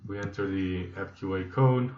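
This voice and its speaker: Low-voiced man narrating a tutorial, echoey room. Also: moderate pace, a little hiss or hum. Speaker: software trainer. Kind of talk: instructional screen-demo narration. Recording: echoey room, slightly dull sound.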